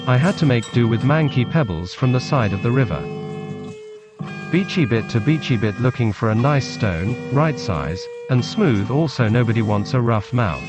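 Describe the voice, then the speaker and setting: A man with a Scottish accent reads a literary story laced with Scots words in a deadpan, clipped manner. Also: medium pitch, moderate pace, music in the background. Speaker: narrator, storyteller. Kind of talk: literary story reading in Scots-flecked English. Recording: muffled lo-fi recording with background noise.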